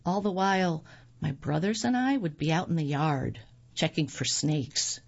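A woman reading magazine articles aloud on the radio in a measured, scripted delivery. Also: deep voice, animated, gravelly, husky. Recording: dull lo-fi recording.

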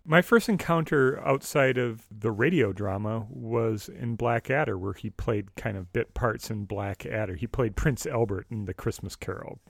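A man's voice: lowish voice, normal speed, gravelly, few pauses, slightly rough, no echo. American man, very dry close sound. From United States. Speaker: podcast co-host. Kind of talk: casual discussion of a radio drama.

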